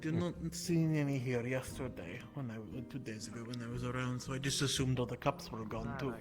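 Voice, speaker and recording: Over loud music, a man acts out a wry tavern regular in an improvised online roleplay. He is curious and politely needling, with formal, understated phrasing and a mid-pitched voice. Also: a little fast, natural voice. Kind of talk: improvised in-character roleplay dialogue.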